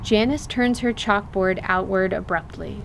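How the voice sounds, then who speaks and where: Female narrator for fantasy radio theatre, medium pitch, scripted delivery, faint rumble in the background. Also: measured pace. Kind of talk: scripted story narration for an audio drama.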